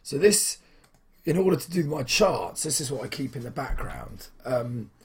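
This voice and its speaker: Young male vlogger, very husky hoarse voice, mid pitch, loose rambling delivery. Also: very animated, normal speed. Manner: rambling, many fillers and restarts. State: hoarse from shouting at football. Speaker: trading vlogger. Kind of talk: casual weekly vlog.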